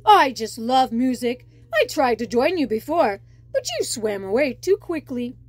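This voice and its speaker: A gentle, music-loving shark from a children's storybook, voiced by a woman. He is eager and earnest, speaking emphatically in a light voice. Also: sits high, sing-song, unhurried, exaggerated voice. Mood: enthusiastic.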